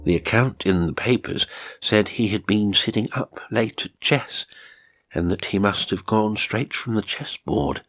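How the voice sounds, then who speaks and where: Deep-voiced man narrating a horror story. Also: unhurried, fairly bright tone. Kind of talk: dramatic first-person horror story narration.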